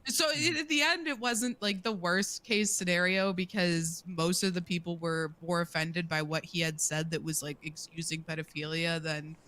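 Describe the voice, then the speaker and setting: A woman's voice: fairly low pitch, fairly even intonation, normal speed, few pauses, pushed and bright. A woman hosting a casual streamed panel chat, talking with passion and plenty of fillers as she makes her points. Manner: impassioned, rambling with fillers. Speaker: panel host.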